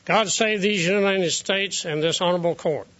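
A man proclaims the opening of court in a bright, forceful, high-set voice with a formal cadence. It is heard from a distance on a dull, lo-fi recording. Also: moderate pace. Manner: loud, ceremonial cadence. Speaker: court marshal.

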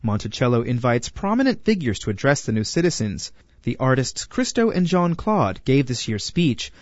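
A man narrates a scripted feature with lively, varied pitch. The sound is dry and close, though a little dull and low in fidelity. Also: normal speed, no room sound. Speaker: narrator, reporter.

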